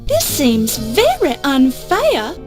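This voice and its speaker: Earnest young novice reporter for adventure game: very high voice, unhurried, determined, music underneath. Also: female voice, huge pitch swings, heavily acted. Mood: dismayed. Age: young adult. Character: eager, polite, plucky young woman, a novice reporter. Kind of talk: scripted adventure game acting.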